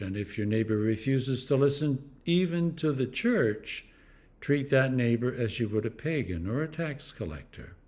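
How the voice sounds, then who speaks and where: A clergyman reading scripture aloud in a deliberate, expressive way, with dramatic emphasis and pauses. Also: medium pitch. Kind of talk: scripture reading, devotional narration.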